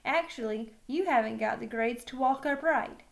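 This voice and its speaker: A stern school football coach, played by a woman reading a storybook, laying down the rules bluntly. The delivery is firm and no-nonsense, in a high register. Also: normal speed, gravelly. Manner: flat, firm. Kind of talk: children's novel read aloud.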